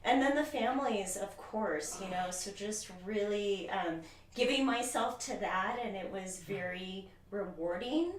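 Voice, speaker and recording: A woman's voice: mid-range voice, measured pace. A woman speaking as a guest in a casual interview, reflective and easygoing, laughing easily. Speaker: guest, former educator. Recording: echoey room, far from mic.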